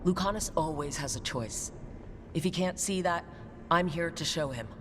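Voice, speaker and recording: A woman's voice: sits low, normal speed, close to an everyday voice. A woman playing a resolute, loyal hero in a video game, speaking in an earnest, firm way. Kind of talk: video game character acting. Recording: clear background noise.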